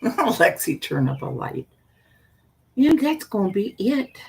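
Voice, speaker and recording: An older woman storyteller with a husky, unpolished voice. She takes her time, speaking slowly in a folksy, meandering way, often trailing off or repeating herself as she hosts her stream. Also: mid-range voice, big pitch swings, a little mellow. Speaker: storyteller and live stream host. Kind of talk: casual rambling chat with viewers; reading a spooky story aloud.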